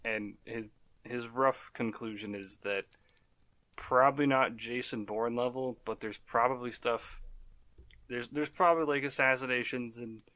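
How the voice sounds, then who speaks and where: A man's voice: medium pitch, fairly even intonation, unhurried, sharp tone, no echo. A man co-hosting a casual podcast, sarcastic and swearing freely, hesitant with "um" as he explains his writing. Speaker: podcast co-host, writer.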